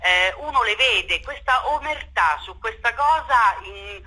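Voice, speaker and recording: A woman's voice: fairly high pitch, animated, unhurried, pushed and bright, poor audio. A woman speaking off the cuff in an interview, emphatic and impassioned, repeating words and seeking agreement. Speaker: theatre director being interviewed. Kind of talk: spontaneous radio interview talk.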